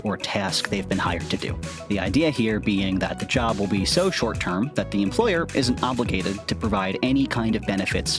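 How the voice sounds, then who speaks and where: Male podcast host over guitar music. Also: lowish voice. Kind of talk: scripted, conversational podcast narration.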